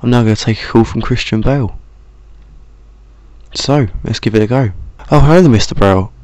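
A man's voice: mid-range voice, fairly quick, darkish tone, dry recording. A British man hosting a podcast in a casual, bantering way. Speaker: podcast host.

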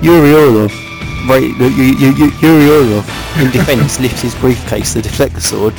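A man with a creaky, mid-pitched voice trades improvised comic banter over clear background music. He stumbles excitedly over his words, repeating them as he goes, and is quick to giggle. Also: rough recording. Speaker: podcast host.

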